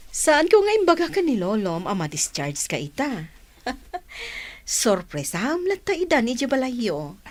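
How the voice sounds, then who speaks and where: A woman's voice: fairly high pitch, huge pitch swings, a little slow, dry recording, full character voice. A woman playing a caring, firm and teasing doctor in an Ilocano comedy radio drama, with an Ilocano accent.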